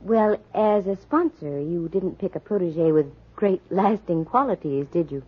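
An actress playing a tough, dry-witted American private detective in a 1940s radio drama, brisk and sardonic. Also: female voice, lowish voice. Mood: dry, probing. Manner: brisk, sardonic, quick with wisecracks. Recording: muffled, husky-sounding 1940s radio recording.

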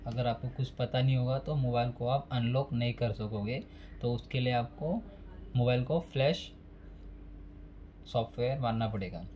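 A man's voice: mid-range voice, steady tone, normal speed, mellow, slight background noise. A man giving a casual step-by-step phone repair tutorial, speaking plainly and repeating each step while working at a desk. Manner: plain, repetitive, instructional.

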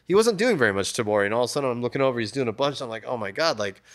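Relaxed rambling man in a tattoo shop. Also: medium pitch, racing. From United States. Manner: relaxed, rambling, many "like" and "you know" fillers. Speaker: tattoo artist, podcast guest. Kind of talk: casual interview talk. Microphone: desk mic on stand.

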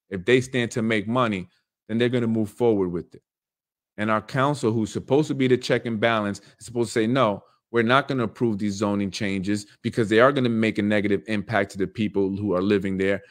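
A man in his thirties hosting a casual political livestream from a small room at home, speaking earnestly with many fillers and repeated phrases. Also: mid-range voice.

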